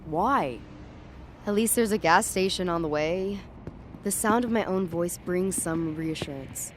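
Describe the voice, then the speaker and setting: A woman's voice: fairly low pitch, unhurried, lightly acted. A woman playing an uneasy, tripping young woman walking home at night, narrating in the first person in a dramatic audio story. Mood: uneasy, watchful. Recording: clear street and traffic ambience behind.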